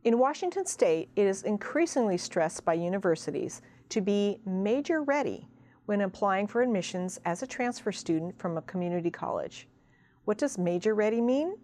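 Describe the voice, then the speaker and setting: A woman presenting college advice as scripted informational narration, in a measured, explanatory way. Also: medium pitch, lively intonation, mellow, a little hiss or hum.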